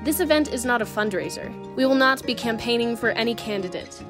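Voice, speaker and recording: High-pitched earnest woman announcer over music. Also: lively intonation, brisk. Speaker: event announcer. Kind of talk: scripted promotional narration. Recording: music behind the voice.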